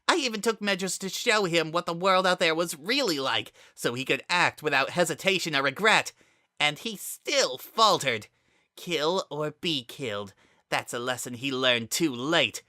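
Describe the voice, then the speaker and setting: Man voicing a sneering young demonic villain, high-pitched. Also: lively intonation, unhurried, bright, forceful tone, dry recording, studio quality, full character voice. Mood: mocking, bitter. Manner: theatrical, sneering, formal wording. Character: menacing demonic young villain, mocking, coldly formal, secretly afraid.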